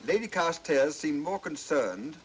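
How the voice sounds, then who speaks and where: An actor playing an affable, quietly shrewd gentleman with a smooth, reasoning manner in an old mystery film. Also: on the high side, animated, unhurried, poor audio. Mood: analytical. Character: urbane, affable, quietly shrewd gentleman, doctor's drinking companion. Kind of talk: 1940s mystery film acting. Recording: hissy old film soundtrack.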